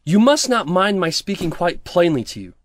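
An actor playing a pompous, self-righteous, grasping miller in an audio storybook drama, preachy in manner. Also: male voice, sits high, big pitch swings, moderate pace, no echo. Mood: scolding, preachy. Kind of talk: audio theatre storybook acting.

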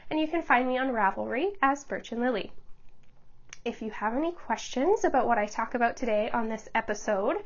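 A young woman with a high, bright-sounding pitch hosts her knitting show from a small home studio, her voice stuffy from a lingering cold. She chats fast to the camera, laughing and tripping over her words. The recording sounds a bit dull and muffled. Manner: chatty, quick, stumbles over words and laughs often. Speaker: knitting podcast host. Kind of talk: casual chat to camera. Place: small home studio room under studio lights.

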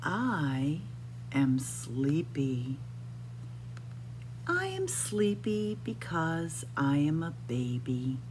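A middle-aged woman reading a picture book aloud to young children in a deliberate, expressive, sing-song way, recorded indoors. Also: deep voice, a little hiss or hum. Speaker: children's library storyteller. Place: indoors in a library room with bookshelves.

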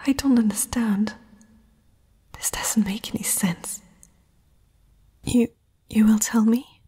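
Casting: young fantasy princess played by a woman, proud but uneasy, very breathy whisper, unhurried, intimate ASMR delivery. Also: a little high, warm tone, no echo, full character voice. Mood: anxious, questioning. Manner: hesitant, slow, intimate. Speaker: ASMR roleplay performer.

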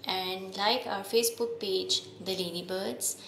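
A woman's voice: medium pitch, fairly even intonation, a little slow, creaky, fairly bright tone, slight background noise. A woman reading a picture book aloud for young children. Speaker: children's story reader. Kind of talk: slow storybook read-aloud.

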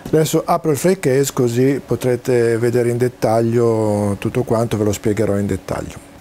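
Mellow older man explaining, noisy room. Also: medium pitch, fairly even intonation. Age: 60s. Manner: hesitant, halting, explanatory. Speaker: presenter demonstrating home-built audio and video gear. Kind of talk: informal technical explanation to camera. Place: small cluttered home study, indoors.

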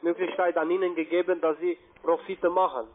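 Flustered male call agent, hesitant delivery, fairly high pitch, narrow telephone audio. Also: little pitch movement, slight background noise. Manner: halting, flustered. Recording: tinny phone line.

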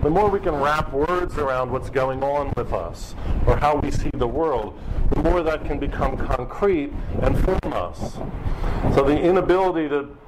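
A middle-aged man giving an academic lecture in a lecture hall, measured and explanatory as he steadily lists figures. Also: a little high, very noisy.

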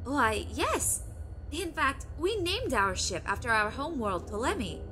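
An actress playing a friendly, sprightly alien co-pilot, chatty and playful, in a sci-fi audio drama. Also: female voice, high voice, very animated, measured pace, bright, forceful tone, slight background noise, heavily acted.

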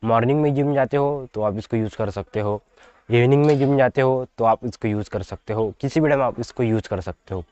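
A man's voice: mid-range voice, brisk, a little mellow, muffled recording. Chatty young man giving fitness tips. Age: 20s. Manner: chatty, repetitive, addresses viewers as guys. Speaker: fitness vlogger. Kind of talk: casual direct-to-camera advice. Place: outdoors in front of trees. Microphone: lapel mic.

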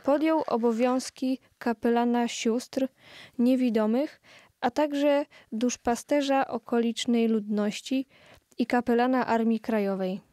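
A woman narrates a historical audio play at an unhurried, slow pace. Her voice is soft-toned and mellow, recorded with almost no room echo. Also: fairly high pitch, fairly even intonation.

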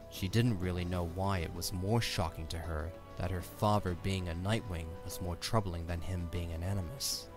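A man reading scripted story narration for an audio drama. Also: low-pitched.

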